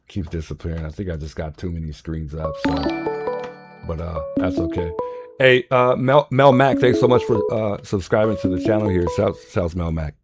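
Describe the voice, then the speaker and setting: A very deep-voiced man runs a casual call-in livestream. He chats loosely between callers, thanking subscribers as he goes. Music plays loudly behind him. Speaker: livestream host taking calls. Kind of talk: casual live call-in stream.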